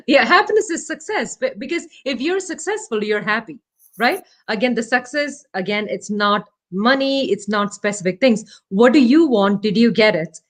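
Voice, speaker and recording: A woman whose first language is Malayalam, speaking as an interview guest from her home over a remote call. She talks thoughtfully and modestly, pausing and restarting with fillers as she searches for the right words. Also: on the high side, lively intonation. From India. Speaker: guest, startup CEO. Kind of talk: casual interview talk.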